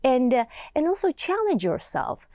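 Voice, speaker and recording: A woman's voice: high-pitched, sing-song, mellow, dry recording, studio quality. Reflective woman with a Georgian accent. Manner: reflective, earnest, unhurried storytelling. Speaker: poet and writer, interview guest. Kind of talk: studio interview. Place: TV studio.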